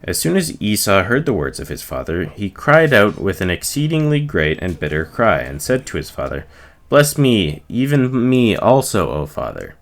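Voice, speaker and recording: Man reading scripture aloud, lively pitch. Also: normal speed. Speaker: reader.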